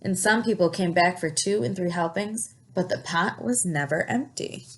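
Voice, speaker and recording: A woman reading a picture book aloud in an expressive storytelling style, stopping to put questions to young listeners and explain things. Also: on the low side, moderate pace, slight background noise.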